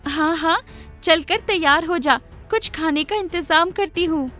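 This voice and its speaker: A woman's voice: very high voice, unhurried, pushed and bright, voice pushed up, heavily acted. A woman voicing a poor, distressed young mother in a children's story, pleading and bewildered. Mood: motherly. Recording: music playing behind the voice.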